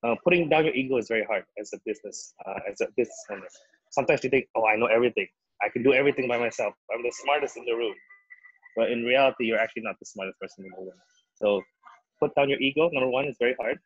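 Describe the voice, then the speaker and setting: A man's voice: medium pitch, fast talker, husky, dry recording, highs cut off. A young man from Indonesia, a business owner on a webinar panel, answering thoughtfully with frequent ums. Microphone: video call.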